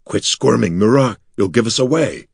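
A man's voice: mid-range voice, lively intonation, moderate pace, creaky, breathy edge, no echo, clean studio sound. A man voicing a dazed, wary young adventurer in an audiobook. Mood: tense. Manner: tense, whispering at times. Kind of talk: audiobook character voice.

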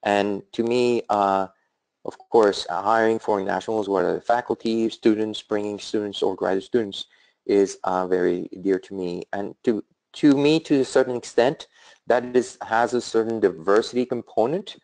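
A middle-aged man with a European accent giving a webinar talk as an academic leader, thoughtful and halting, with frequent fillers and restarts. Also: fairly low pitch, fairly even intonation, creaky, darkish tone, no echo, rough recording. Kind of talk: webinar presentation.

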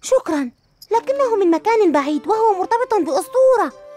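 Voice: very high voice, sing-song, brisk, darkish tone. A performer voicing an eager, determined young boy superhero in a cartoon dub, with music behind. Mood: modest. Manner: earnest, determined.

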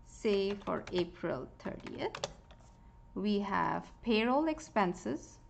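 Woman calmly walking through a spreadsheet. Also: medium pitch, slow, vocal fry, a little hiss or hum. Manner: calm, explanatory, frequent "uh" fillers. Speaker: software tutorial presenter. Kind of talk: screen-recorded spreadsheet walkthrough.

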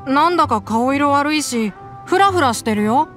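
A woman's voice: high voice, lively intonation, normal speed. An actress voicing a small, cheerful boy with a sweet, pleading way of talking. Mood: eager. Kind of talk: Japanese game voice acting. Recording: game audio with faint music underneath.

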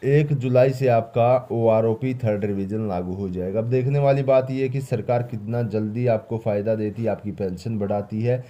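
A man's voice: fairly low pitch, moderate pace, hardly any pauses, dark tone, dull audio. A man hosting an explanatory news update, speaking emphatically and repeating key phrases. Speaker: host of pension update channel. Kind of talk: explanatory news commentary over slides.

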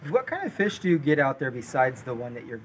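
A man in his thirties with a medium-pitched voice talks informally. He is laid-back and conversational. He is recorded outdoors at a little distance from the camera, with light ambient noise. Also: very animated, fast. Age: 30s. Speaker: homeowner showing his building project. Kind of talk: casual chat. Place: outdoors, unfinished block building by the sea. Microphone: camera a couple of metres away.

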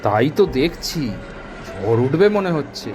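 A man's voice: mid-range voice, very animated, unhurried. A man playing a considerate, dutiful office worker in a cartoon, speaking politely and earnestly. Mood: earnest, concerned. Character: considerate, dutiful male office worker, an elder-brother figure. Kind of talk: Bengali cartoon voice acting. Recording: loud music bed over dialogue. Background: music.

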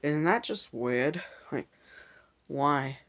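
A man giving casual, halting off-the-cuff commentary as he records on a handheld device in a room at home. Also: on the high side, lively intonation, fairly bright tone. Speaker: amateur video maker. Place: dim room at home, filming a TV screen.

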